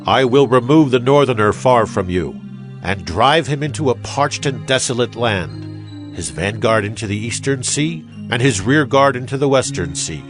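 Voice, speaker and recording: A man's voice: mid-range voice, expressive, moderate pace. Man, mid-range voice, solemn, declamatory, dramatized scripture narration, music behind. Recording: music under the voice.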